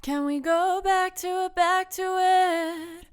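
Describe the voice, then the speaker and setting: A woman sings in a very high register, with slow, drawn-out phrasing. She is a singer-songwriter recorded on a studio microphone. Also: fairly even intonation. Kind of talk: singing with acoustic guitar. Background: acoustic guitar.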